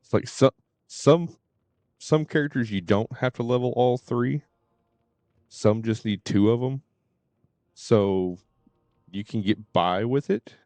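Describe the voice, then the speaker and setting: A man giving casual live game commentary, thinking aloud in a halting way and often repeating his words. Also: medium pitch, darkish tone, dry recording. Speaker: game livestreamer. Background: faint game music.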